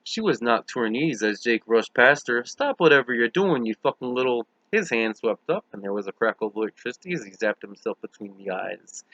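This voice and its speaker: A man's voice: on the low side, lively intonation, brisk, few pauses, sharp tone, no room sound, dull audio. A man reading a novel aloud as scripted dramatic narration. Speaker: audiobook reader.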